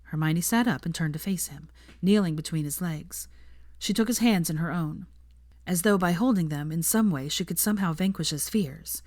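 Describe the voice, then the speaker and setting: A woman narrating a fanfiction audiobook in a calm, even storytelling voice. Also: fairly low pitch, normal speed, no room sound, clean studio sound. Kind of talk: audiobook reading.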